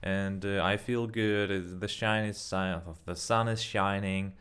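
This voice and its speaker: A man's voice: fairly low pitch, level tone, measured pace, fairly bright tone, clean tone. A young man whose first language is not English, talking casually to camera in a rambling way with many fillers and self-corrections. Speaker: vlogger. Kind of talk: casual spoken practice vlog.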